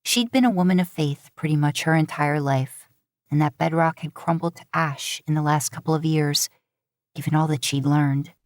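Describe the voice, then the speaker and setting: Woman, deep register, scripted fiction narration, very dry sound. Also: moderate pace, no echo. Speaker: podfic narrator.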